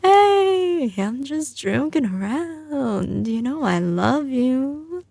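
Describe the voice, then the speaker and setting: A slow-spoken mafia boss girlfriend, acted by a woman, with a light Southern US drawl. She is teasing and doting yet ruthless, sliding between command and tenderness in a close, dry ASMR audio roleplay. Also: high voice, very animated, creaky, warm tone, no room sound, exaggerated voice. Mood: teasing, affectionate. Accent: slight Southern US drawl. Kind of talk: ASMR audio roleplay, intimate scripted acting.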